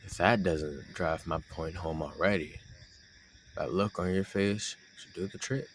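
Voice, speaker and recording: A man playing a shy, teasing young man secretly in love with his friend, in an intimate audio roleplay with a halting delivery. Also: sits low, slight background noise. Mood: playful, teasing.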